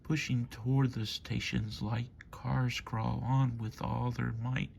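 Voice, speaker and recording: A man reading a children's bedtime story aloud in measured, rhythmic rhyme. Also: mid-range voice, little pitch movement, vocal fry, a little mellow, slight background noise. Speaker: storybook reader.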